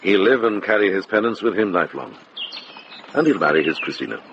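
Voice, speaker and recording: A very deep-voiced older monk, kindly and wry, with expressive, lively intonation. He speaks unhurriedly, like a wise healer in a radio drama, on a slightly muffled recording with clear noise. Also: a little fast. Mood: assured.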